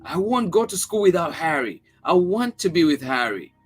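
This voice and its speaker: A man's voice: a little high, very animated, moderate pace, slightly rough, soft background music, pitched above the performer's own voice. A man voicing a sulky, stubborn little girl in a storybook reading. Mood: insistent. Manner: plaintive, insistent.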